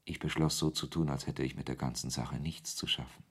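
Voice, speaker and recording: An actor playing a wry author who narrates a radio play in the first person, in a husky voice. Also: male voice, very deep voice, fairly even intonation, a little fast, a bit bright, heavily acted. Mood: wry narration. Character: male author narrating his absurd encounter, wry and irritated.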